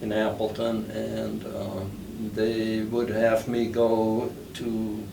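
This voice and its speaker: An older man born in the Netherlands, with Dutch as his first language, recalling his past slowly with many pauses and fillers in an oral history interview. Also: on the low side, monotone, vocal fry. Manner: slow, halting, many fillers. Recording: roomy, distant, noisy recording.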